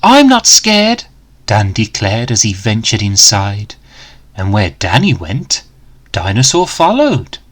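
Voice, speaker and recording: A man reading a rhyming picture book aloud to children in an expressive, dramatic, deliberate way. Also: fairly low pitch. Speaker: storyteller for children.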